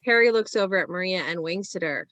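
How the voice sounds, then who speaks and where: A woman's voice: fairly low pitch, lively intonation, fast talker, a bit bright, no room sound, muffled recording. A woman reading screenplay stage directions as scripted present-tense narration.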